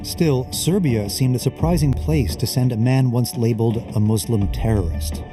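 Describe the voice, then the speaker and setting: A man's voice: medium pitch, normal speed. A man working as a reporter, reading scripted narration for a documentary. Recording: music under the voice. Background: background music.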